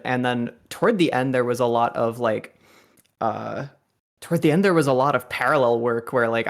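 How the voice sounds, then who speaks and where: A young man talking casually on a group commentary about writing song lyrics, rambling and laughing often. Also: medium pitch. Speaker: songwriter on a fandub commentary.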